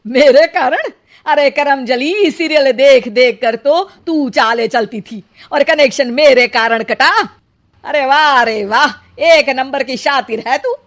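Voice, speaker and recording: Lady doing a cranky old mother in law from a cartoon, high voice, scolds and sneers. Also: takes it slowly. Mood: furious, mocking. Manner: scolding, sharp-tongued. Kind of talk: exaggerated Hindi cartoon family drama.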